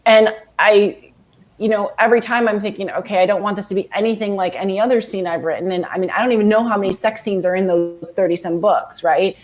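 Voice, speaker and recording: A woman's voice: medium pitch, fairly even intonation, fast. A woman in her forties, a guest author chatting casually, rambling with many restarts and fillers. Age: 40s. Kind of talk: casual video-call chat.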